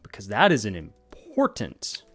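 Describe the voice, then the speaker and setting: A man voicing a guarded, sharp-minded woman companion in a tabletop game, speaking in a measured, blunt, businesslike way. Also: fairly low pitch, wildly swooping pitch, faint music behind, pitched below the performer's own voice, full character voice. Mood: wary.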